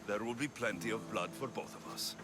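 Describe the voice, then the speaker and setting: A man's voice: on the low side, brisk, loud music behind the voice, natural voice. A man voicing a confident young Greek mercenary hero in a game cutscene, talking in a cool, dry, self-assured way. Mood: steady. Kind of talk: video game cutscene acting. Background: orchestral score.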